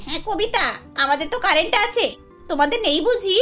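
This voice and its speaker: A friendly young neighbor in a cartoon , acted by a woman who reassures in a cheerful way. Her voice is high and bright, and she talks quickly. The sound is dull and lo-fi, with music underneath. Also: animated, heavily acted.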